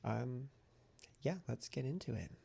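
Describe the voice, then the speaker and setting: A man's voice: mid-range voice, measured pace, vocal fry, loud music behind the voice. A man talking casually to his audience as a novelist vlogger, effusive and repeating phrases for emphasis. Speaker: novelist vlogger documenting writing progress. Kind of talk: casual vlog chat to camera.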